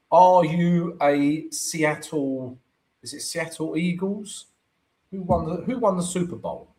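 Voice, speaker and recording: A man with a croaky voice talks through his charts on a live trading stream. His pitch swings up and down as he goes, and he keeps checking in with his viewers. He reads out chat comments and answers them. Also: low-quality recording. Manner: chatty, keeps asking "right?" to the audience.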